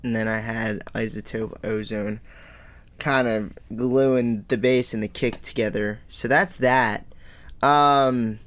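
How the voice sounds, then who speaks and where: A man's voice: mid-range voice, fairly even intonation, slow pace, fairly bright tone. A man casually walking through his music production in a home studio, rambling and self-deprecating with lots of fillers. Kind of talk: casual tutorial walkthrough. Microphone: studio microphone.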